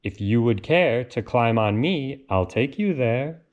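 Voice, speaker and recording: Man as a polite, measured storybook lion. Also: mid-range voice, lively intonation, darkish tone, muffled recording, natural voice. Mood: courteous offer.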